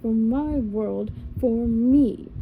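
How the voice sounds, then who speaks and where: A woman's voice: fairly high pitch, drawn-out words, phone-quality sound, slight background noise. A woman reading the lines of an arrogant, cruel young prince in a storybook, in a voice close to her own. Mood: cold. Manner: cold, scornful.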